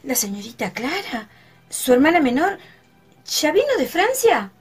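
An actress playing an emotional, humble young country wife in a radio soap opera. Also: female voice, sits high, very animated, unhurried, faint music behind. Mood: surprised. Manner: simple, deferential, emotional. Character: tearful, humble young country wife, former ranch worker.

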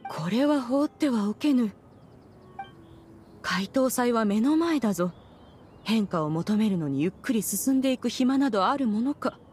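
Woman playing a proud, regal immortal master, higher-voiced. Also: animated, normal speed, a little mellow, faint music behind, natural voice. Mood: grave, resolute. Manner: stately, archaic, haughty diction. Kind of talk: anime game voice acting.

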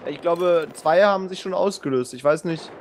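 A man's voice: a little high, brisk. A man chatting casually and in slang while playing a video game with a friend. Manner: colloquial, slangy. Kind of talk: casual gaming chat with a co-player. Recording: faint game noise behind voice. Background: video game sounds.

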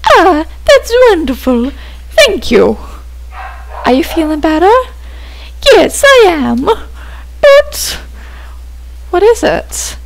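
Man putting on a timid, thankful star plant for a game; lifted pitch, unhurried, a little fry. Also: huge pitch swings, slight background noise, heavily acted, rough recording. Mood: grateful. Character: gentle shy hungry little star plant.

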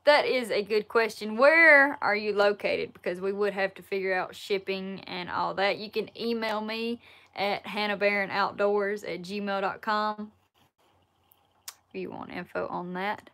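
A woman in her twenties with a Southern Alabama accent, chatting casually on a livestream and answering viewer questions in a relaxed, folksy way from a wood-paneled room. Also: mid-range voice, measured pace. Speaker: outdoors YouTuber answering live chat. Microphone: laptop or phone camera at arm's length.

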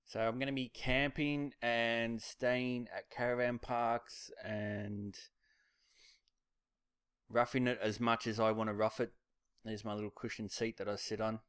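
A relaxed Australian man chatting to his camera in a casual vlog. His voice sits a little low and sounds smooth and clean. The sound is close and dry, with little room to it. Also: no echo. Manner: relaxed, rambling, many ums and uhs. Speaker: motorcycle vlogger.

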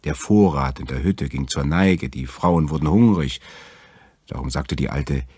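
A man with a very deep, gravelly voice narrating a fairy tale as a storyteller. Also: measured pace, darkish tone, no room sound, clean studio sound.

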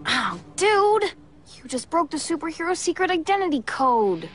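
A woman's voice: very high voice, sing-song, normal speed, fairly bright tone, exaggerated voice. Woman doing a wry cartoon hero girl, bossy, voice bounces around, music behind.

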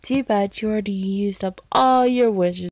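A woman voicing a smug, deadpan young male genie with a flat, dry delivery in an amateur cartoon comic dub. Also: medium pitch, moderate pace, long reverb tail, pitched below the performer's own voice, close to an everyday voice.

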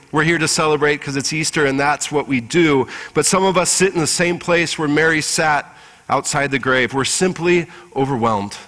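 A man in his forties preaching a sermon as pastor, measured and earnest, with deliberate pauses, in a church sanctuary. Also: fairly high pitch, a bit bright. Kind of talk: Easter Sunday sermon with scripture reading. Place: large church sanctuary with congregation. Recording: reverberant church hall through PA.

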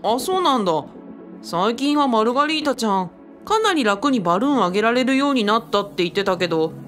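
A slightly high-voiced, friendly young man played by a woman speaks with a Kyushu accent in a radio drama. He mutters and exclaims, easily flustered, with faint music underneath. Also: sing-song, brisk. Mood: surprised. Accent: Kyushu Japanese. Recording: music behind the voice.

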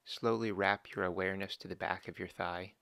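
A man slowly and calmly guiding a body scan meditation, giving gentle step-by-step instructions with long pauses. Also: low-pitched, gravelly, no room sound. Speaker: meditation guide.

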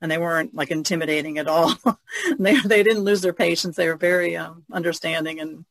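A man's voice: on the high side, steady tone, brisk, gravelly, fairly bright tone, no echo. A man chatting casually on a podcast as an artist, sharing personal experience.

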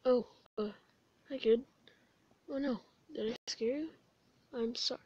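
A woman voicing a gentle, weary male skeleton in an amateur comic dub, low-key and hesitant in casual slang. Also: a little slow, breathy edge. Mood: soothing. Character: gentle, weary male skeleton older brother, laid-back. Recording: dull, echoey room recording.

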